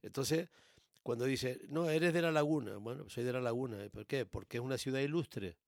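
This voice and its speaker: Gravelly Canary Islands man, explaining emphatically. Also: mid-range voice, moderate pace, no echo, studio quality. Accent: Canary Islands Spanish. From born in Tenerife, raised in Gran Canaria, Canary Islands. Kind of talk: casual opinionated chat.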